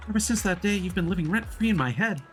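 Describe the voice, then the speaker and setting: Man putting on a high, gushing young woman. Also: animated, fast talker. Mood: earnest admiration. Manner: gushing, earnest. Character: earnest, admiring young woman, grateful and bashful. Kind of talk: reading game dialogue aloud. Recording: music playing behind the voice.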